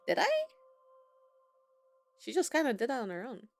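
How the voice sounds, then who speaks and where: A woman's voice: a little high, very animated, normal speed, vocal fry. A woman gamer giving casual playthrough commentary and reading dialogue choices aloud as she plays. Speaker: gaming streamer. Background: game music.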